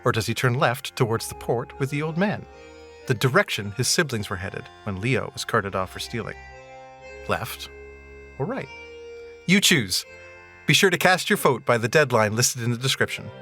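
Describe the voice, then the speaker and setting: A male narrator with a medium-pitched voice reads scripted story narration for an audio drama. Faint music plays behind him. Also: very animated, normal speed, pushed and bright.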